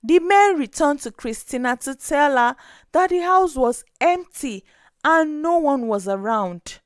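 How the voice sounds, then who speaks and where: A woman narrating a scripted story in an expressive, dramatic way. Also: sits high, a little slow, no echo, studio quality.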